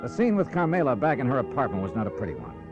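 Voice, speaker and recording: Hardboiled American detective, swooping delivery. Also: male voice, medium pitch, wildly swooping pitch, racing. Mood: narrating. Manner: clipped, slangy, hardboiled delivery. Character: tough, wry American insurance investigator, hardboiled radio hero. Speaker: lead actor and narrator. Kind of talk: 1950s radio drama acting. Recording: dull vintage radio recording with music.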